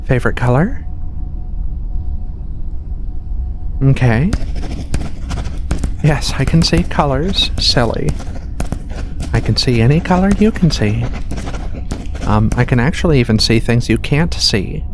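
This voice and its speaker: A woman plays a female alien cat-like medical researcher in a soft-spoken ASMR roleplay. Her voice is low and very unhurried, patient and clinical with a gently playful, reassuring streak. A steady ship-like hum sits behind her. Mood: gently amused. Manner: hesitant, reassuring, unhurried questioning. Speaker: medical examiner. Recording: steady ship-like ambient rumble.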